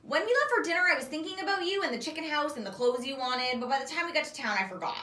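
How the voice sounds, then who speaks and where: A woman's voice: sits high, expressive, fast talker, pushed and bright, pitched above the performer's own voice. A woman voicing an earnest, matter-of-fact young girl explaining things in a children's story. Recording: echoey room, distant microphone.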